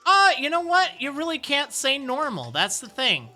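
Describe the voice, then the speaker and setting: A man with a high, youthful-sounding voice streaming a game, reading viewer messages and answering with rambling encouragement. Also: wildly swooping pitch, moderate pace, bright, forceful tone, faint music behind. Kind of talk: casual live-stream chat.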